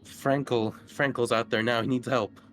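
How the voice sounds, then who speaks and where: A man's voice: medium pitch, steady tone, normal speed, fairly bright tone, a little hiss or hum, close to an everyday voice. A man playing an alarmed crewman in a tabletop game, speaking in urgent, clipped phrases. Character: alarmed male crewman urgently relaying an emergency.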